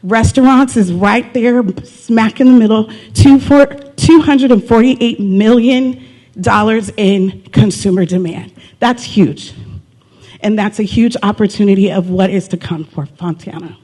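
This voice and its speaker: A woman's voice: medium pitch, measured pace, mellow. Woman giving an emphatic presentation. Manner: emphatic, repeats key figures, poses rhetorical questions. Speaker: city economic development presenter. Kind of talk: live persuasive presentation to brokers and investors.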